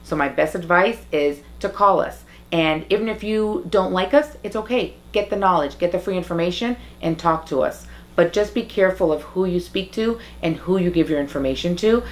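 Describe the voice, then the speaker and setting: A woman's voice: fairly low pitch, normal speed, few pauses. A woman from Guyana, an interview guest giving direct advice, emphatic and pleading, repeating her key points. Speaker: interview guest advising the community on legal cases.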